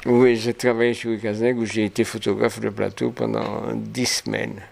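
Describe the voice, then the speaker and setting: A man's voice: fairly low pitch, little pitch movement, normal speed, vocal fry, darkish tone. A man in his seventies from Martinique, a photographer answering interview questions with many hesitations and restarts. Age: 70s. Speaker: photographer guest.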